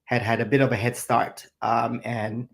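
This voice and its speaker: Man from thailand and singapore, middle-aged, gravelly, hesitant and reflective, chef being interviewed. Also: mid-range voice, flat delivery, moderate pace. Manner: reflective, many fillers. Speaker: chef and guest. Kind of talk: interview answers.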